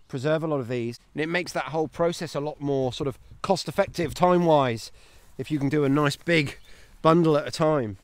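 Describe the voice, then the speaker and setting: A chatty man in his thirties, his voice sitting a little high, talks eagerly while he walks through an open field. The phone is held at arm's length, and there is no room echo around his voice. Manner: chatty and enthusiastic, talking while walking. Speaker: homesteading channel host. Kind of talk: walking vlog, mushroom foraging tips. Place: outdoors in a grassy paddock.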